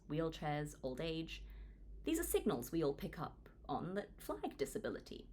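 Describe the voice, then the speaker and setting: A woman in her twenties from Hong Kong, reading her own book aloud as an earnest, lively audiobook narrator. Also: a little hiss or hum. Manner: earnest, lively reading with wide pitch swings. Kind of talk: audiobook read-along narration.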